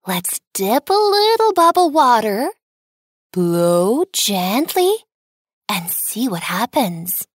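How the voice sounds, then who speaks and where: A woman voicing a gentle, reassuring young mother in a children's storybook, soothing and coaxing. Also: fairly high pitch, sing-song, slow, no echo, clean studio sound, full character voice. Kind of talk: scripted children's storybook dialogue.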